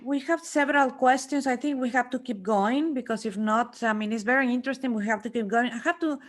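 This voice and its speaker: A woman moderating a round table, speaking spontaneously and haltingly with repeated words. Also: on the high side. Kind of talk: moderating a panel, spontaneous speech.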